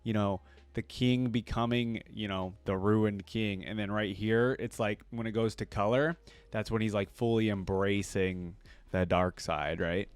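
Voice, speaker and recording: A man's voice: lowish voice, steady tone, unhurried, clear, resonant tone, dry recording. A man hosting a reaction video, rambling conversationally and musing aloud in casual commentary. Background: game music.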